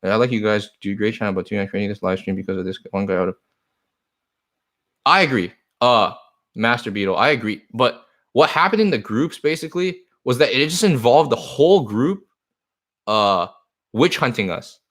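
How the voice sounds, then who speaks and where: A man's voice: on the low side, animated, normal speed, fairly bright tone. Earnest rambling man, Chinese native speaker. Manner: earnest, rambling, repeats himself. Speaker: card shop owner. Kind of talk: live stream talk answering comments.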